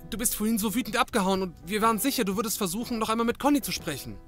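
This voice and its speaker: A woman's voice: medium pitch, expressive, very fast, fairly bright tone, faint music behind, close to an everyday voice. An actress playing an earnest, eager female detective's assistant, slightly flustered, in a German comedy audio drama. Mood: grateful, puzzled.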